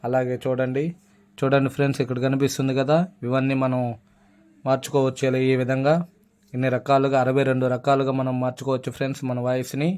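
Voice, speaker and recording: A man's voice: mid-range voice, monotone, quick pace, a little mellow, clear, resonant tone. A man hosting a casual app tutorial, addressing listeners as friends. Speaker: YouTube app tutorial host.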